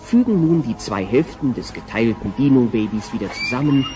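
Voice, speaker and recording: A man's voice: mid-range voice, expressive, a little fast, dark tone, soft background music, close to an everyday voice. A man narrating a German cartoon audio play as a scripted storyteller.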